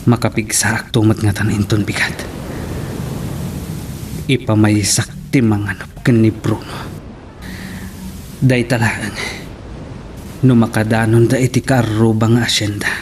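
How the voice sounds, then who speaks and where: A man's voice: on the low side, flat delivery, measured pace, long pauses, natural voice. Man acting loyal Ilocano henchman over hissy noise. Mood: brooding, determined. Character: loyal, resolute male henchman serving a young master. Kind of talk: Ilocano radio drama acting. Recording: radio drama with loud hissy noise.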